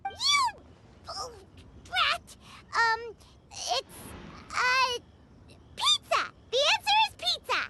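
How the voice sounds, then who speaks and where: A woman voicing a small, childlike, excitable fairy companion in a video game, with a squeaky, theatrical delivery. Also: drawn-out words, pauses often, very noisy, heavily acted. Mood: flustered. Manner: theatrical, speaks of self in third person. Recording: loud music behind the voice.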